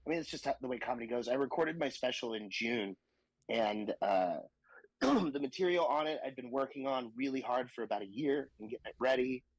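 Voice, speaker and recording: A man's voice: mid-range voice, brisk, slightly rough, dry recording, rough recording. A young man and stand-up comedian talking casually on a podcast, laughing often and joking crudely. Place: Austin, Texas.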